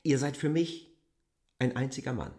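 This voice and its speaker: A man's voice: medium pitch, steady tone, normal speed, darkish tone, slightly rough, dry recording, clean studio sound, natural voice. An actor playing a stern, commanding male stranger who gives brusque, exacting orders in a literary radio drama. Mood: firm, insistent.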